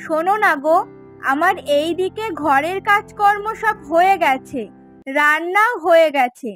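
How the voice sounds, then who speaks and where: A woman's voice: very high-pitched, a little slow, fairly bright tone, faint music behind. A woman voicing a chatty, eager village fishwife with a coaxing, folksy manner in a fairy tale cartoon. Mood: pleased, chatty.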